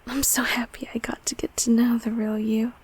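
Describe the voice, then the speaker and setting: A woman playing a gentle, affectionate closet monster in an intimate comfort roleplay, speaking softly and hesitantly in a whispery, reassuring way. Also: fairly high pitch, level tone. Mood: warm, reassuring. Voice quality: soft, breathy, whispery. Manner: slow, hesitant, tenderly reassuring.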